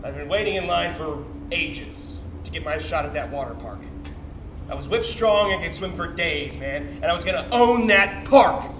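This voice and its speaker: A man's voice: a little high, lively intonation, normal speed, lightly acted. A man on stage playing a cocky, bitter young sperm cell, telling his story as a slangy, swearing, defensive bro in a dramatic comic monologue. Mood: nostalgic, boastful. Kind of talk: theatrical comic monologue, confessional storytelling to audience. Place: indoor theatre stage, recorded from a distance. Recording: roomy, distant stage recording with faint noise.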